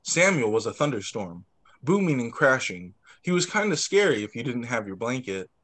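A man's voice: medium pitch, normal speed. A young man, a teacher, reads picture books aloud to small children expressively, with short asides. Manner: expressive, doing voices for dialogue, with short asides. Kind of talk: animated picture-book read-aloud. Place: indoors, on a video call.

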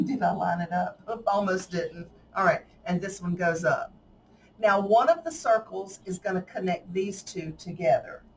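A woman's voice: fairly low pitch, normal speed, far from the mic, rough recording. A woman with a Southern drawl walks through a craft project in a folksy, chatty way. Her voice sits slightly away from the mic in an indoor room with faint background noise. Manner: chatty, folksy, laughs often. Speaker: craft tutorial host. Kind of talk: casual hands-on craft demonstration.

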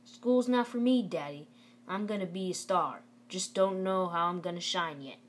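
A woman's voice: on the low side, moderate pace, natural voice. A woman reading aloud the part of an eager, cocky young boy who questions his father. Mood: defiant.

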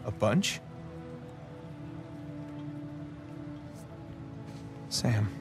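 A man's voice: takes it slowly, natural voice. A man playing an easygoing, wry middle-aged American adventurer in a naturalistic video game cutscene, bantering and sarcastic. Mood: surprised. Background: music.

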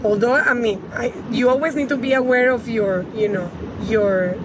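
A woman's voice: a little high, moderate pace. A woman in her thirties with a Spanish accent gives casual advice to the camera as a coach, repeating phrases for emphasis. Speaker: women's empowerment coach giving tips. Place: inside a car. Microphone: phone at arm's length. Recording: loud car and road noise.

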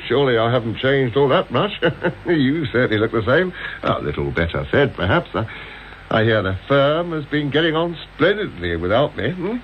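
An actor playing an arrogant, caustic middle-aged English gentleman detective in a radio drama, with a theatrical, sardonic manner. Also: medium pitch, sing-song, moderate pace, natural voice. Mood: breezy, amused. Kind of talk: 1980s radio drama acting. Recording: muffled, hissy old radio broadcast.

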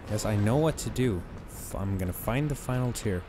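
A hoarse man giving casual let's-play commentary, thinking aloud as he plays. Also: fairly low pitch, lively intonation, noisy background. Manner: slow, rambling, thinking aloud.

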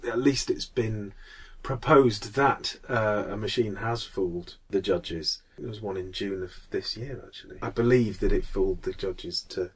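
A man's voice: fairly low pitch, measured pace, hardly any pauses, slightly rough. A man in his thirties with a Mancunian accent, hosting a casual podcast chat. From Manchester, England. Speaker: podcast host.

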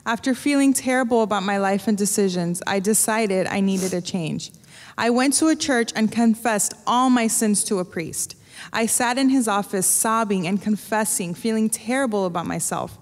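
A woman's voice: mid-range voice, moderate pace. A woman interpreting a testimony, reading a prepared translation steadily, phrase by phrase, on a church stage. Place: church stage before an audience. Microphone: stand microphone. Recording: long reverb of a large church hall.

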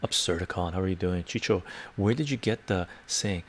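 An older man from Canada talks loosely and warmly at a relaxed pace, responding to chat messages one by one. His voice sits low with a rough, gravelly fry. It is close and clean through a clip-on mic in a book-lined home study. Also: moderate pace, a little hiss or hum. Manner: chatty, answers viewer comments aloud. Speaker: livestream host reading chat. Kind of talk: casual livestream chat. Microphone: lapel mic.

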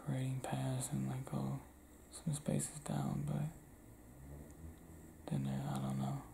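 A young man from Cleveland doing an intimate ASMR roleplay, talking softly and often whispering, recorded close in a small indoor room. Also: mid-range voice, completely flat delivery, slow, creaky, slight background noise. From Cleveland, Ohio.

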